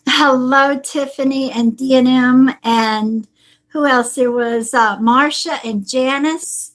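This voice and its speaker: A woman's voice: high-pitched, fairly even intonation, takes it slowly, poor audio. A woman psychic greeting viewers one by one on a live stream, a little stuffy from a cold and calling people honey and sweetheart. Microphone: device on a table.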